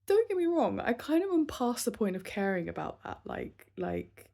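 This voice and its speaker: A woman in her thirties recording a casual vlog, talking unscripted and candidly, with many pauses, fillers and restarts. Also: a little high, wildly swooping pitch, vocal fry, warm tone. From southern England. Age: 30s. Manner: candid and self-reflective. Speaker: vlogger.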